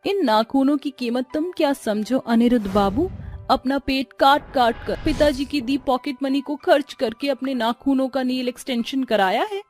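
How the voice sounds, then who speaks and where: Cartoon role: spoiled young wife voiced by a woman, high, whiny, theatrical and insolent, over clear music. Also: normal speed, darkish tone, lightly acted. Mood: boastful. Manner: theatrical, defiant, whining. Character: vain, whiny, insolent young daughter-in-law obsessed with long nails. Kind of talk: Hindi cartoon story character acting. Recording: music under the voice.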